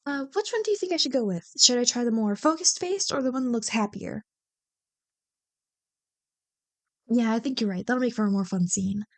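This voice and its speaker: A woman's voice: on the high side, expressive, fast talker, dry recording. A woman acting a playful, teasing young childhood friend in a casual, joking ASMR roleplay. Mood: thoughtful, musing. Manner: bubbly, joking, playfully bantering. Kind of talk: ASMR roleplay, one-sided casual conversation.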